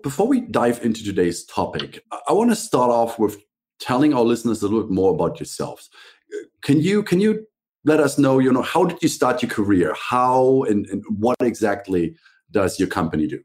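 A man's voice: mid-range voice, big pitch swings, normal speed, a little mellow. A man hosting an interview podcast, introducing and questioning in a conversational way. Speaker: podcast host.

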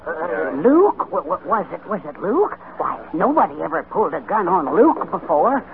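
Nervous western barkeep, high lively voice, gloomy and stammering, on a muffled vintage radio recording. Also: male voice, moderate pace. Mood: shaken. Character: jittery, gloomy western saloon bartender, man. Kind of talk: old-time radio western acting.

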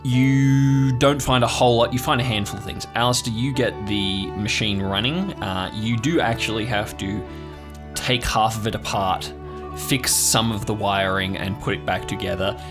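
A man's voice: on the low side, moderate pace, over music. A man narrating an improvised tabletop story as game master, describing scenes in a conversational way.

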